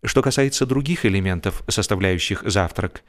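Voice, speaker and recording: A man narrating a humorous literary story in an expressive, mock-serious theatrical manner, with deliberate pauses. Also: sits low. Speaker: audiobook narrator, actor.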